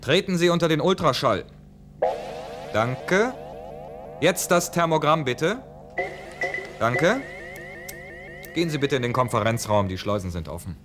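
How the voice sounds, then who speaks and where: A man's voice: mid-range voice, animated, measured pace, pauses often, music in the background, close to an everyday voice. A man playing a suspicious starship second-in-command in a science-fiction radio drama, sardonic and officious. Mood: officious, mocking.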